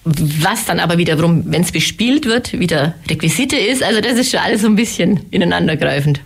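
A woman with a husky, gravelly voice, a little hoarse from a cold. She explains her theater makeup work plainly and practically in a relaxed interview. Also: fairly low pitch, brisk. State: has a cold, slightly hoarse. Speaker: head of women's makeup department at a theater. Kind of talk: casual interview talk.

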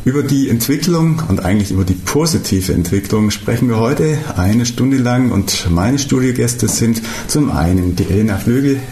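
A man's voice: mid-range voice, animated, moderate pace, hardly any pauses, dark tone, echoey room, slight background noise. A man hosting a radio talk show interview in a studio, speaking hesitantly with fillers and restarts. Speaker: radio host.

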